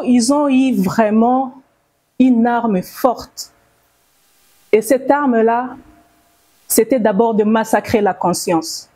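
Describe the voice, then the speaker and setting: Slow-spoken Congolese woman giving testimony. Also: on the high side, mellow. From Bukavu, South Kivu, DR Congo. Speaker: testifying survivor and audience speaker.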